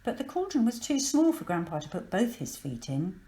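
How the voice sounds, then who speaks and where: A woman reads a children's story with dramatic emphasis. Her pitch is middling and very lively, and the room adds an echo. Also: normal speed. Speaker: storybook reader. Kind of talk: expressive scripted children's story narration.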